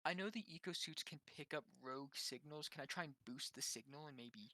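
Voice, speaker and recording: A man's voice: a little high, fairly quick, sharp tone, no room sound. A man chatting casually as a player in a tabletop game.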